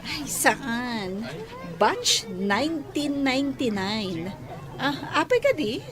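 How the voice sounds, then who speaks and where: An actress playing a busy, regretful friend in an audio drama. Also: female voice, high voice, sing-song, takes it slowly, full character voice. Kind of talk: Ilocano audio drama acting. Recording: busy crowd sound behind.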